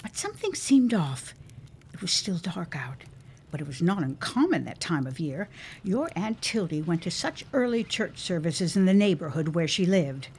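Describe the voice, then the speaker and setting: Middle-aged widowed mother, period radio play, stubborn, sentimental, lowish pitch, highly animated, insistent. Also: moderate pace, a little hiss or hum. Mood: storytelling, eerie. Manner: theatrical, insistent, old-fashioned phrasing. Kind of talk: period audio drama acting.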